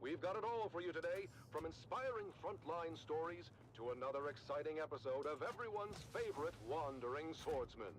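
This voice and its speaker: Showy higher-pitched male radio announcer, acted. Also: lively intonation, fairly quick, hardly any pauses, a little hiss or hum, natural voice, poor audio. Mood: upbeat, showy. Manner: showy, formal. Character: smooth propaganda radio announcer of a noble house. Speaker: radio host. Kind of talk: polished radio broadcast announcing.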